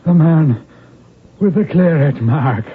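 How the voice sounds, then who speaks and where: A fearful, superstitious Irishman, speaking slowly and haltingly with big swings in pitch. It is a 1970s radio drama with a tinny, slightly noisy broadcast sound. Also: expressive. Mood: stricken, gasping.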